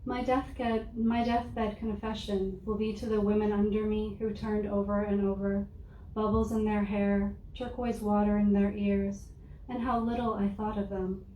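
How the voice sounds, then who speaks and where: A soft-spoken woman reading her own poems aloud in a measured, deliberate way to a live audience. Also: medium pitch, little pitch movement, muffled recording. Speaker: poet reading her work. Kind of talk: poetry reading. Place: room with an audience. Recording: roomy, distant mic with faint noise.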